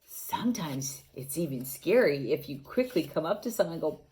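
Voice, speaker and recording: A woman reading a picture book aloud to young children, gently asking them questions and adding playful sound effects. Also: sits low, animated, normal speed, slight background noise. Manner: gentle, coaxing, asks the young listeners questions.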